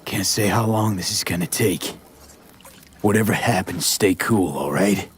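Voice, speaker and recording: An actor playing a weary, tough mercenary in a cyberpunk game, speaking in clipped street talk. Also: male voice, low voice, measured pace, a little hiss or hum. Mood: cool, businesslike. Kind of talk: video game voice acting.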